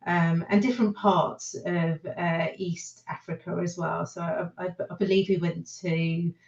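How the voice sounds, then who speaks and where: A British woman telling a personal story as a podcast guest, reflective and halting in her delivery. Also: lowish voice, level tone, creaky, darkish tone. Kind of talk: personal storytelling in a panel discussion. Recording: echoey, dull lo-fi sound.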